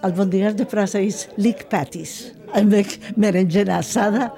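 A woman in her seventies from Turkey whose first language is Ladino, speaking slowly and deliberately in an interview. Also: mid-range voice, steady tone, vocal fry, mellow. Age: 70s.

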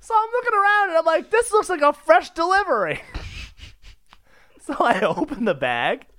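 A man co-hosting a comedy podcast, casually telling stories in a giggly, excitable, protesting manner. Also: very high voice, moderate pace. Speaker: podcast co-host.

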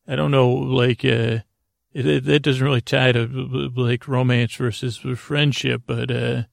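A man with a gravelly, creaky voice hosting a sleep podcast, rambling in a halting, whimsical way with silly asides and self-interruptions. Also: mid-range voice, little pitch movement, no room sound, clean studio sound. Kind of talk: rambling, whimsical bedtime monologue.

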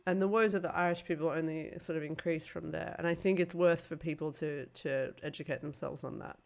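Woman co-host, low voice with vocal fry, musing casual talk. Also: fairly even intonation, a little fast, darkish tone. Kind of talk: casual chat.

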